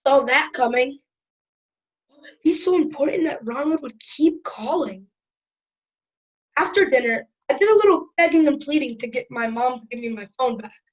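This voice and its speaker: A woman's voice: high voice, lively intonation, normal speed, pauses often, muffled recording. A woman playing a cheeky, chatty schoolboy who tells his story in a wry, conversational way. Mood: chatty, amused. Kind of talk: first-person storytelling in audio drama.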